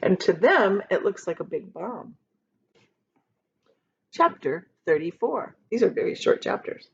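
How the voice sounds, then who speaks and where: A woman reading a children's book aloud like a teacher, expressive and dramatic, pausing to explain things to young listeners. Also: medium pitch, creaky, slightly rough, muffled recording. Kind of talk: animated storytime read-aloud with explanatory asides.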